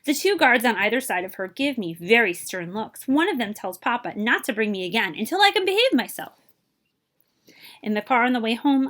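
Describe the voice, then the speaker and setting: A woman reading a children's picture book aloud in an animated way, speaking directly to young listeners. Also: a little high. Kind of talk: expressive children's read-aloud with asides to listeners.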